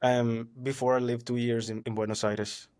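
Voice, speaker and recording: Venezuelan dude podcasting, spanish is his first language, laughs a lot, swears casual, mid pitch. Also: little pitch movement, moderate pace, darkish tone, clean tone. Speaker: podcast host.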